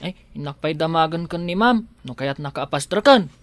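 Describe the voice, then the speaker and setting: A man's voice: on the high side, animated, normal speed, fairly bright tone, no room sound. A man with an Ilocano accent playing a loyal, protective household helper in a radio drama, earnest and deferential. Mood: guarded, defiant.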